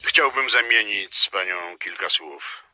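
Insistent official, low-pitched and very sharp, clipped formal delivery, crime audio drama. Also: male voice, animated, a little slow, soft background music, exaggerated voice. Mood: curt, insistent. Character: curt, insistent male official, quietly menacing.

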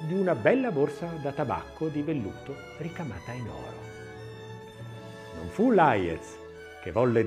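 A man reading a literary text as narrator, expressively and theatrically, with measured phrasing and varied emphasis. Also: medium pitch, darkish tone.

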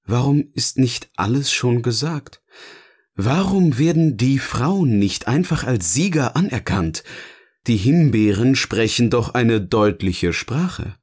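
A man's voice: mid-range voice, sing-song, slow, a little mellow, slightly rough, heavily acted. A man acting a teasing, philosophical partner in scripted audio-novel dialogue, with a theatrical, questioning manner. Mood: musing. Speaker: voice actor, narrator.

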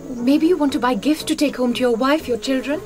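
A woman's voice: sits high, brisk, over music, natural voice. An actress playing a bubbly, girlishly enthusiastic wife in a radio drama. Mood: bright, eager.

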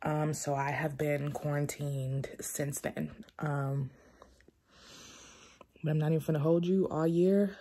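A woman's voice: sits low, steady tone, slow, dark tone, no room sound. A young adult woman chatting casually to her vlog audience in an informal, rambling, slangy way. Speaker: vlogger, graduate student. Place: at home and inside a parked or moving car. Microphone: phone at arm's length or mounted.

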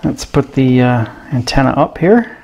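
A man giving a casual, hands-on radio review in a room at his desk, talking slowly and filling pauses with ums and uhs. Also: medium pitch, lively intonation, a little mellow, lo-fi sound. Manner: unhurried, hesitant, many ums and uhs. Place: indoor room at a desk with gear. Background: radio noise and static at times.

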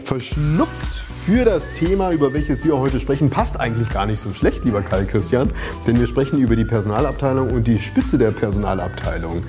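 A man's voice: medium pitch, expressive, brisk, warm tone, faint music behind. A man hosting a business podcast, upbeat and joking as he leads the conversation. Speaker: podcast host.

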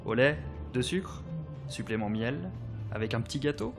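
A man playing a caring, polite, soft-spoken assistant in an audio drama. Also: medium pitch, animated, normal speed, natural voice. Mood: concerned, kind. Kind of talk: French audio drama acting. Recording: music behind the voice.

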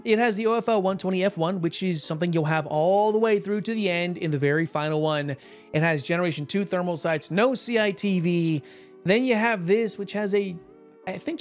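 Hoarse, chatty man with a higher voice. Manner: chatty, rambling, with frequent fillers and short laughs. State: hoarse, tired voice after a long stream. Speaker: gaming YouTuber. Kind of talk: casual gameplay commentary.

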